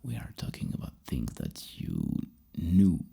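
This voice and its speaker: An extremely low, gravelly voice that slips in and out of a whisper. It speaks softly and close to the mic as an ASMR psychologist, circling back to rephrase questions. The tone is dark and very husky. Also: huge pitch swings, unhurried. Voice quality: breathy, husky, creaky whisper. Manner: hesitant, repeats and rephrases questions. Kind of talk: soft-spoken whispered ASMR roleplay. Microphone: close microphone.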